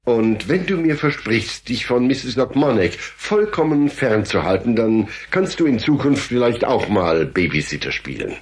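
An actor playing an earnest, slightly flustered middle-aged father in a German children's audio drama, husky and patient. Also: medium pitch, big pitch swings, a little slow, hardly any pauses. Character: harried, well-meaning middle-aged family father.

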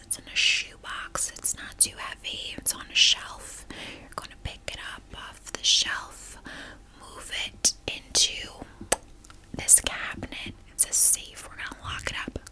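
A woman whispering a guided visualization for ASMR in a breathy, unvoiced whisper, gentle and soothing with a casual rambling manner. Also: low-quality recording. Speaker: ASMR video host.

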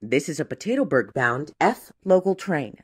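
A woman reading scripted train announcements in a measured, formal, segmented way. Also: sits low, sing-song, breathy edge. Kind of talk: scripted transit announcement, spliced clips.

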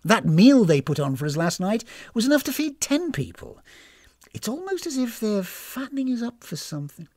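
A woman voicing a suspicious, sharp-tongued woman traveller in a storybook, snappish and sardonic. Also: a little high, sing-song, moderate pace, no echo, clean studio sound, pitched above the performer's own voice. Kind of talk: storybook character voice.

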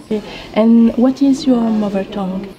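A woman interviewing students in English, asking halting questions with fillers. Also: on the high side, dark tone, dull audio.

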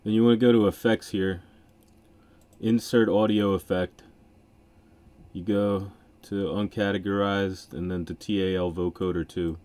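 A man hosting a casual software tutorial in an informal, offhand way. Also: low-pitched, steady tone, measured pace. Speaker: music software tutorial host. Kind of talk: casual screen-recording tutorial.

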